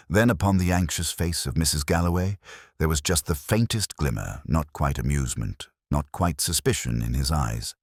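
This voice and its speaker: Very deep-voiced male narrator. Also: no room sound, clean studio sound. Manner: measured, dryly ironic storytelling. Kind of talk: scripted narration of a radio mystery.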